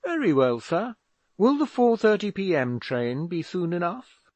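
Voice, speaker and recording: A man's voice: very animated, unhurried, darkish tone, no echo. A man voicing a cheeky young man who answers his father with light mockery in a raised voice. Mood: flippant. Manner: lightly mocking, raised pitch. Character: insolent, flippant young man, an idle artist son.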